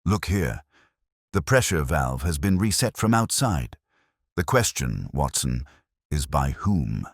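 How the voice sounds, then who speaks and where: A coolly rational Victorian detective read by a male narrator speaks with great authority in an audiobook. The voice is extremely low and dry, with formal, precise phrasing and lively movement in pitch. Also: slow, gravelly, husky, no room sound, full character voice. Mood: urgent, commanding. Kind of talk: audiobook character dialogue.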